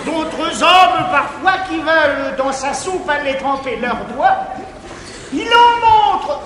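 An actor playing a simple-minded male peasant valet who declaims comic verse slowly and loudly on stage. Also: very high-pitched, animated, heavily acted. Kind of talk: 1950s stage verse comedy. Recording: roomy theatre recording with hiss.

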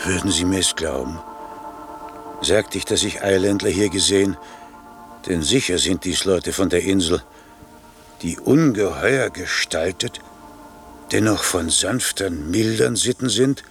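Kindly old court adviser, low n unhurried, earnest, radio drama. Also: male voice, faint music behind. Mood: marvelling. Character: gentle, honest old royal counsellor. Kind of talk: 1960s German radio play acting.

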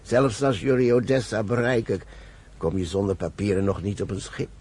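An actor playing a cautious older rabbi in a radio drama, speaking gravely and deliberately as he counsels and admonishes. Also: on the low side, steady tone, a little mellow. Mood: incredulous, admonishing. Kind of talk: Dutch radio drama acting. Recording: noisy old radio play recording.

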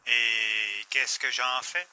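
A man's voice: mid-range voice, fairly even intonation, drawn-out words, background noise. A man playing a villain's wary male henchman in an audio drama. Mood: questioning.

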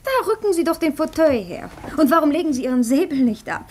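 A woman's voice: high voice, very animated, fast, slight background noise. An actress playing a nervous, impulsive young upper-class woman in a period radio drama, intense and abrupt. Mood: insistent, polite. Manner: intense, abrupt, swinging between gushing and sharp.

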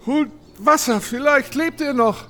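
A man's voice: extremely high pitch, unhurried, pushed and bright, heavily acted. An actor playing a frightened, bewildered blind man in a radio play, theatrical and fretful. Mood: alarmed. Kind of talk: German radio play acting.

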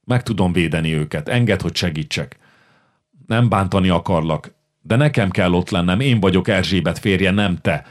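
A man's voice: low voice, natural voice. A man voicing a fiery, desperate middle-aged warlord who pleads and then defies. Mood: defiant.

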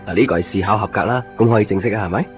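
Actor as earnest low-voiced young Cantonese man. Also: rapid-fire, lightly acted. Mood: eager. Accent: Hong Kong Cantonese. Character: earnest, lovestruck young man courting a woman. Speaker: radio drama actor. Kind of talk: naturalistic 1990s radio drama dialogue and inner monologue. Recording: muffled 1990s radio drama, music underneath.

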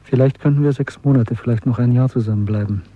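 Radio drama dissident male actor: husky, sombre, fatalistic, mid-pitched, close dry studio sound. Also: fast, no room sound, close to an everyday voice. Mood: resigned, sombre. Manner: sombre, restrained, terse. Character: weary, fatalistic male dissident, resigned to doom. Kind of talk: German radio drama acting. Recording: dry, slightly dull studio radio recording.

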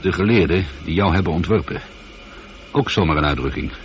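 Man acting a low-voiced, wry astronaut. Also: a little fast, lightly acted. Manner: wry, musing. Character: male lone astronaut, wry, slightly uneasy. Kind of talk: radio drama acting. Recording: dull, slightly noisy old radio recording.

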